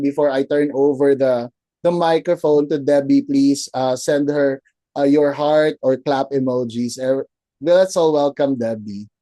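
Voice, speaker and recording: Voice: medium pitch, warm tone, no echo. A moderator on a video call webinar, reading out a guest's background and welcoming her. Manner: reads a bio, then casual welcome. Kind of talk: introducing a speaker.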